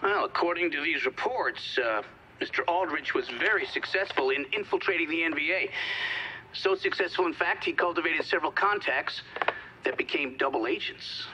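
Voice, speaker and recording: A stern hearing inquisitor from a game, his voice is high and lively in its swings. He questions formally and pointedly. The sound is lo-fi. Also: normal speed. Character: formal, probing male official questioning a witness. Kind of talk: hearing interrogation, game cutscene acting. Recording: dull lo-fi sound, faint noise.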